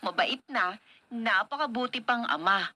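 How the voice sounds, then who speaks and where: Clear background clinking sits behind this radio drama voice. a proud young Filipino student daughter, speaking on the high side. She is affectionate and earnest. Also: animated, measured pace. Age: young adult. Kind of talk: Philippine radio drama acting. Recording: noisy, with clinking tableware effects.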